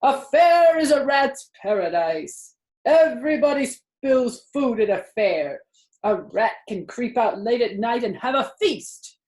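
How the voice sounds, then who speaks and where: A woman's voice: on the high side, animated, measured pace, a little mellow, echoey room, pitched above the performer's own voice. A woman voicing a chatty, worldly old female sheep in a storybook reading. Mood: enticing.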